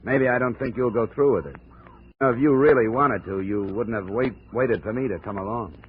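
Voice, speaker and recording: An actor playing a tough, cynical American insurance investigator in a 1950s radio drama, speaking in a clipped, hard-boiled way. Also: male voice, medium pitch, brisk, close to an everyday voice. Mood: curt, challenging. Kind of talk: 1950s radio detective drama, first-person narration and dialogue. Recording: muffled, noisy 1950s radio recording.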